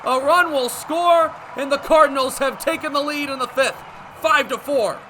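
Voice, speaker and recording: A young man delivers live baseball commentary for radio. His voice sits high and has a sharp, forceful brightness, and he describes the action in short, clipped phrases. Faint ballpark noise runs behind him. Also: moderate pace. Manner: narrates action in short clipped phrases, building to excited calls. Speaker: baseball play-by-play announcer.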